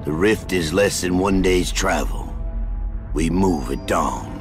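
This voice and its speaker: An actor playing a gruff, weary older man, a forgetful former operative, in a video game cutscene. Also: deep voice, slow, music in the background. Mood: resolute.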